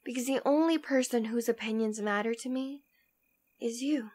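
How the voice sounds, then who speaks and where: A woman's voice: on the high side, normal speed, warm tone, natural voice. A woman playing a devoted, teasing demon queen in an ASMR roleplay, speaking intimately and tenderly, often dropping toward a whisper. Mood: tender, defiant. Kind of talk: ASMR roleplay audio drama.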